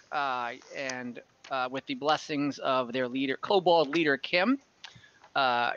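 Bright-voiced young man running a game. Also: a little high, smooth. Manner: relaxed, rambling, frequent fillers and laughs. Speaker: game master. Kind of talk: narrating a tabletop game recap. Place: home room on a video call. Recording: dull, slightly lo-fi video call audio.

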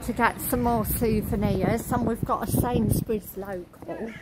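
A woman vlogger narrating a walk outdoors along a shopping street, halting as she lists what she passes. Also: on the high side, steady tone. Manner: halting, listing shop names as seen. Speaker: travel vlogger. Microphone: handheld camera while walking. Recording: loud street and traffic noise.

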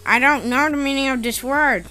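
A woman's voice: sits high, normal speed, sharp tone, faint music behind, rough recording. A woman voicing a quirky, childlike, scheming cartoon creature in a fan comic dub, with an emphatic, innocent-sounding delivery. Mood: baffled.

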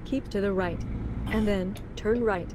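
A woman's synthetic navigation voice giving short scripted prompts in a measured, impersonal way. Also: mid-range voice. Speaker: GPS navigation voice. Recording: vehicle noise over navigation voice.

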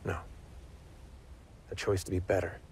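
An actor playing a calm, wise young Jedi knight in a video game, speaking in a measured, earnest way. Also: male voice, low voice, slight background noise. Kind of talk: video game cutscene acting.

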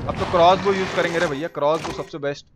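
A man giving excitable, repetitive, slangy live game commentary as a streamer. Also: fairly high pitch, fast. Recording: clear background noise.

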